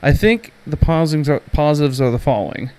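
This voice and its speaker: A man's voice: fairly high pitch, fast talker, heavy background noise. A man hosting a podcast game review, giving commentary that moves between prepared narration and loose opinion. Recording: music playing behind the voice. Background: music.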